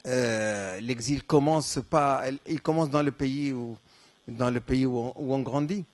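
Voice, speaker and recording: A man from Morocco, Darija his mother tongue, answers audience questions at a conference. He speaks in a hesitant, reflective way, full of fillers and restarts, at a middling pitch. The sound is dry and close. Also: unhurried, darkish tone, no echo. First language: Moroccan Arabic (Darija). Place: lecture hall.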